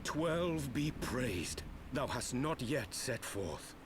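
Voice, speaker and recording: An actor voicing a solemn, grave warrior who speaks formal archaic English in a dramatic game scene. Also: male voice, mid-range voice, big pitch swings, slow. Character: solemn archaic warrior grieving a fallen comrade. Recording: noisy game stream capture.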